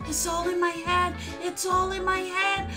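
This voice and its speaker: A woman playing an excitable pet owner girl in a children's roleplay story, dramatic and given to exclaiming. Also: extremely high pitch, unhurried, loud music behind the voice. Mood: panicked. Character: excitable pet owner girl who fears she is imagining talking pets.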